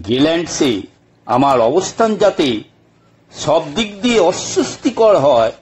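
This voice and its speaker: A man narrating an audio story in the first person, with theatrical, deliberate phrasing and frequent pauses. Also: mid-range voice, darkish tone, a little hiss or hum. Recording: music bed behind narration.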